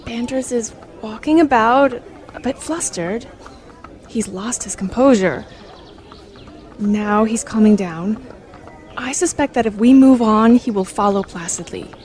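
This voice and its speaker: A woman acts an earnest, inquisitive teenage girl in an old-fashioned radio play. Her voice sits fairly high. She speaks formally and articulately, as a proper young storyteller and companion to a detective. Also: a little slow, long pauses, a little mellow, natural voice. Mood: baffled, observant. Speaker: narrator and sidekick.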